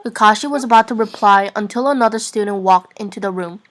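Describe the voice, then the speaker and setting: A woman's voice: medium pitch, quick pace, background noise. A woman narrating fan fiction in an informal, amateur reading-aloud style. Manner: giggly, informal.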